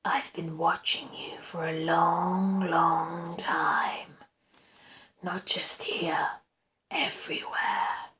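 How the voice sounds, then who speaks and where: A woman performs an obsessive man lurking in the shadows of a suspense story. He speaks in a soft, breathy whisper, slowly and intimately. His tone is commanding yet gentle, and full of menace. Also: mid-range voice. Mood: calm, menacing. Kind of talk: suspense audiobook character.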